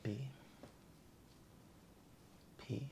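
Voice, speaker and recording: Male ASMR patient, subdued and unhurried, short hesitant replies, clear room noise behind. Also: dark tone. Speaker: patient being examined. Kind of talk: quiet ASMR roleplay, short answers. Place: small indoor room set up as exam office.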